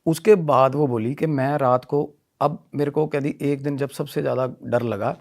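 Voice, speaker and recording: A man telling a story in animated conversational style, often repeating himself and mixing in English phrases. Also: medium pitch, moderate pace, vocal fry. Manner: repetitive, mixes Hindi with English phrases. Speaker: podcast guest telling a ghost story.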